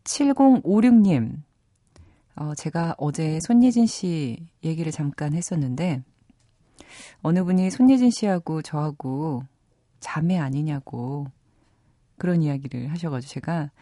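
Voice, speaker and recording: A woman's voice: sits low, lively intonation, measured pace, warm tone, no echo. A woman in her thirties from South Korea hosting a radio program, moving between scripted narration and chatty talk, close on a studio microphone. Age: 30s. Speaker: radio host of a movie program.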